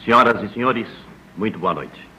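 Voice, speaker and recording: Bright low-voiced 1960s Brazilian male announcer. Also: animated, breathy edge, highs cut off. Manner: measured, ceremonious. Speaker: official radio announcer. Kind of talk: formal 1960s broadcast announcement. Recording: slightly noisy 1960s radio archive.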